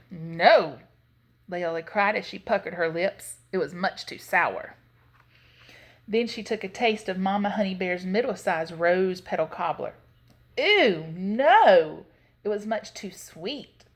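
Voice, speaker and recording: A woman storyteller giving an expressive read-aloud narration of a children's story. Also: fairly low pitch.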